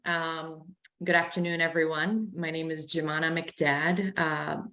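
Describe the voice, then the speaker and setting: A woman's voice: low voice, flat delivery, measured pace, sharp tone. A Canadian woman with a Canadian accent, speaking confidently on an online panel. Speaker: panelist, credit union executive.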